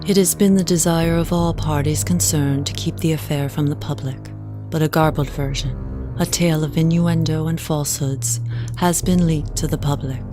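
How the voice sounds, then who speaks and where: A woman playing a composed young widow in an audio drama, telling her story in measured, formal, confiding words. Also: low voice, steady tone, natural voice. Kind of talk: audio drama first-person narration. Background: music.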